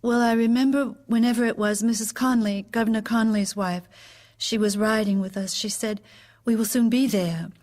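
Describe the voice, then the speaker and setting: A woman's voice: a little high, steady tone, a little mellow, lightly acted. A woman playing a widowed First Lady giving hesitant, composed testimony in an audio drama. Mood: subdued, hesitant. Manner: hesitant, halting, measured recollection.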